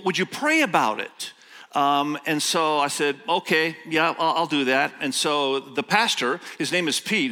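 Middle-aged male guest pastor, Indonesian native speaker, bright forceful voice, emphatic sermon storytelling. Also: on the high side. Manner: storytelling, slow emphatic scripture reading, quick asides. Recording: long church hall reverb.

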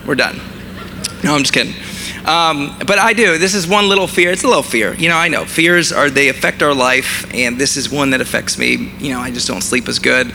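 Hoarse thirties pastor, gravelly mid-pitched voice, self-mocking humor; recorded over a steady noisy hum. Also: male voice, a little fast. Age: 30s. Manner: self-deprecating humor, conversational preaching. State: hoarse, losing his voice. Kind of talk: sermon.

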